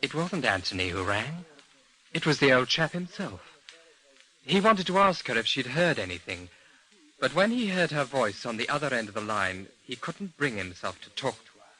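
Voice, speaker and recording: An actor playing a cool, serious man in an old-time radio drama, speaking in a terse, deliberate way. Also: medium pitch, big pitch swings, sharp tone, low-quality recording. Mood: explanatory. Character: grave, cool man bringing bad news, woman's lover.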